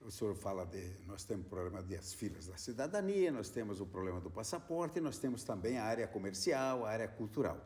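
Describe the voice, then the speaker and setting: A man's voice: fairly low pitch, big pitch swings, a little fast, few pauses, darkish tone. A man with a Brazilian accent, putting conversational questions as an interviewer.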